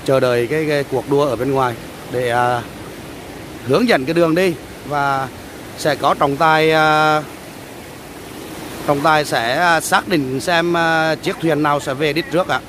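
A man casually commentating on a beach race for viewers, talking haltingly with many drawn-out fillers, outdoors by the sea. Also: fairly high pitch, fairly even intonation. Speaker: vlogger filming a beach race. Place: outdoors on a windy beach by the surf. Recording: loud surf and wind noise.

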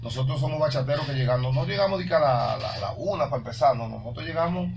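A man's voice: mid-range voice, animated, fast talker, vocal fry. A man with a Dominican accent, a bachata singer, talking casually as a radio guest. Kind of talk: casual radio interview chat. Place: small radio studio. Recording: noisy, distant from the microphone.

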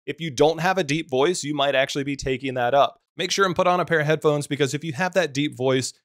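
Male tutorial host, deep voice, slightly raised pitch, plain practical delivery, dry close-mic sound. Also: fast, no room sound. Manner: relaxed, practical explaining. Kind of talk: screen-recorded software tutorial.

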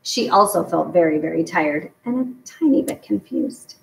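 A middle-aged woman narrates a children's book with plenty of creak in her voice. She is recorded some way from the mic in a roomy, echoing space. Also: fairly low pitch, lively intonation, moderate pace. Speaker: storyteller reading a children's novel aloud. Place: home garage, indoors. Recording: echoey room, distant mic.

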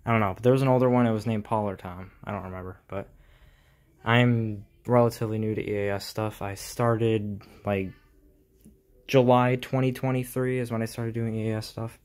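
A man giving an informal tech tutorial in a halting, explanatory way, recorded on a handheld phone in a room at home. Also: lowish voice, steady tone, a little mellow. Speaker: hobbyist video host. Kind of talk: casual tech tutorial vlog. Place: small indoor room at home.

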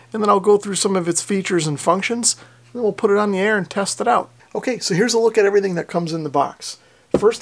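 A middle-aged man walks through a piece of gear as a reviewer. His voice sits a little higher than most men's and has a strong gravelly fry. He explains things step by step in a plain-spoken, methodical way. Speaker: radio reviewer and presenter. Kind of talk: product overview and demonstration.

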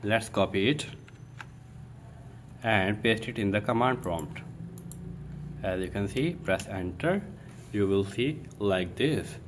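A man presenting an informal step-by-step tech tutorial in a halting way, thinking aloud as he works. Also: lowish voice. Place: indoors at a computer desk. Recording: steady room noise.

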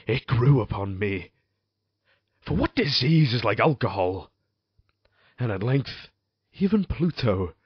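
Tormented husband with sweeping, dramatic pitch. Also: male voice, husky, no echo. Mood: brooding, confessional. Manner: theatrical, halting, with dramatic pauses. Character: tormented, drunken, cruel husband confessing his descent into perverse violence. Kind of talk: gothic audio drama monologue, archaic literary speech. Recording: dull lo-fi audio, loud background music.

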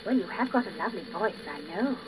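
A performer voicing a gently reproachful, nagging female nightingale, a wife in a children's story record. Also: mid-range voice, expressive, fast talker, lightly acted. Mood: admiring. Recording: noisy old 78rpm gramophone record.

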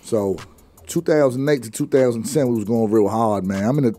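A man's voice: mid-range voice, fairly quick, mellow, no room sound. A young man from Afghanistan, a rapper guest, telling stories in loose slangy talk full of fillers, close on a studio mic. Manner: laid-back slangy storytelling, many fillers. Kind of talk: casual interview talk. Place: radio studio with branded backdrop. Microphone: studio mic close up, headphones on.

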